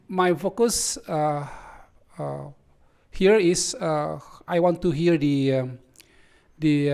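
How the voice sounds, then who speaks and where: Hesitant man, halting English. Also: a little high, clean tone. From Bali, Indonesia. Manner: hesitant, halting second-language English, many fillers. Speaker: visiting speaker at a community meeting.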